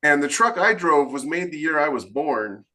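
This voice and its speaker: A man's voice: a little high, animated, normal speed, pushed and bright. A man co-hosting a podcast interview in casual, emphatic talk, often acting out imagined quotes to make his point. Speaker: podcast co-host.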